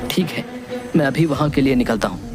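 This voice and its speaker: A man playing a frightened, polite young villager in an animated horror story, with a startled, pleading and hesitant manner. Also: mid-range voice, a little fast, over music, lightly acted. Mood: hesitant, curious.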